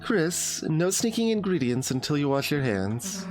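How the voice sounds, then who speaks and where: Game role: kind, firm monster mum, man acting, lifted pitch, slow delivery, music bed. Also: sing-song, poor audio. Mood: firm, motherly.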